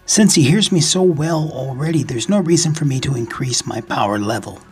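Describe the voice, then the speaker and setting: Husky-voiced man narrating a tutorial. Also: mid-range voice. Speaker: ham radio video host. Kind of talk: scripted instructional narration.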